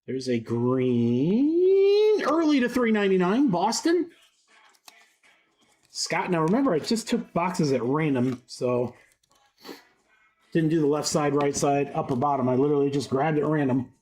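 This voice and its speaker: A man's voice: fairly high pitch, big pitch swings, measured pace, pauses often, darkish tone. A congested young man with a head cold, chatting upbeat on a live stream while he rattles off cards. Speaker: card break streamer. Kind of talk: live-stream card break commentary.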